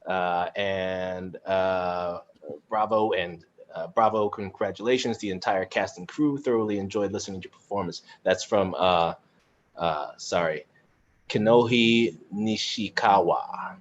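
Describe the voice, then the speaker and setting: A man's voice: low voice, a little slow. A man chatting casually as he moderates a panel, reflective and often searching for words, heard over a video call. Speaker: actor and moderator of a cast discussion. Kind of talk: casual conversational panel chat. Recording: dull, lo-fi video call sound.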